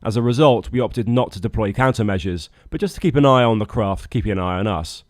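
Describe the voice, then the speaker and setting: A man with a fairly low voice narrates a travel vlog. His delivery is scripted and wry, with dry understatement. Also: fast, no echo. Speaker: video narrator, boat skipper.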